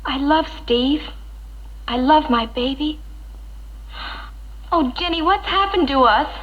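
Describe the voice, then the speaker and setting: A woman's voice: sits high, lively intonation, slow. An actress playing a hurt, despondent young wife and new mother in a 1960s educational film, speaking in a plaintive, doubtful way. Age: young adult. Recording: dull, noisy 1960s film soundtrack.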